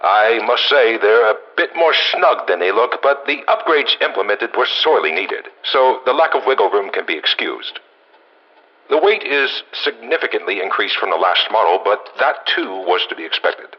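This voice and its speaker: Man acting low-voiced Doctor Masterson, muffled recorder log. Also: big pitch swings, normal speed. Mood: businesslike. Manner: formal yet musing, dryly humorous. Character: gruff, wry male senior scientist, Doctor Masterson. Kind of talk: scripted in-game audio log dictation.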